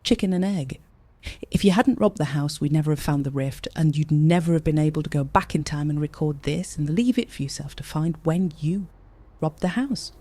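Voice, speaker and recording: A mellow-toned, brash young woman in a naturalistic audio drama, recorded close and dry. She is impatient, blunt and sarcastic, eager to explain. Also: deep voice, moderate pace, dry recording. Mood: insistent.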